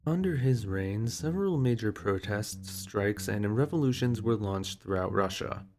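A man narrating a scripted history explainer, mixing steady exposition with wry conversational asides. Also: fairly low pitch, a little slow, over music.

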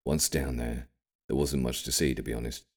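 A man voicing a cryptic old grandfather in an audiobook, gravely and carefully reminiscing about his wartime childhood. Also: very deep voice, steady tone, darkish tone. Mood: uneasy, reflective. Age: older. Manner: careful, measured, ominous storytelling. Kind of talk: audiobook character storytelling.

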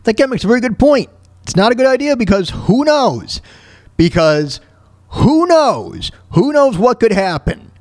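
A man's voice: very high-pitched, big pitch swings, unhurried, darkish tone, dry recording, studio quality. A man hosting a talk radio show, commenting casually in a rambling, exclamatory way and repeating his phrases.

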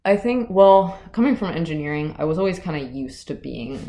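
A young woman with a very low, gravelly voice talks softly and loosely, filling her sentences with little hedges. The room around her rings with a noticeable echo. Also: lively intonation, fairly quick. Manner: quiet, hedging, many fillers. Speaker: climbing guide. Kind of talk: casual interview chat. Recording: roomy echoey recording.

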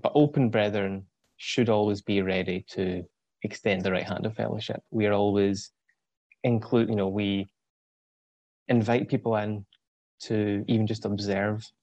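A young man in his twenties with a Scottish accent, a guest on an interview, explaining things thoughtfully with frequent pauses and ums. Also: fairly low pitch, steady tone, a little mellow, no room sound, lo-fi sound. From Scotland. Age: 20s. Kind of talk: casual explanatory talk.

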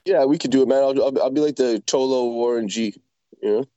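A man's voice: medium pitch, fairly quick, warm tone, no echo, lo-fi sound. A man from Quebec with a French Canadian accent, co-hosting a casual show. He jokes around and stumbles over repeated words as he starts his sentences. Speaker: co-host. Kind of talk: casual chat.

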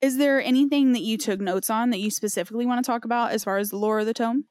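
A woman's voice: on the high side, fast, a little mellow, clear, resonant tone, no room sound. Enthusiastic woman chatting, close dry sound. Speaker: podcast host. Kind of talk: casual chat about video game lore.

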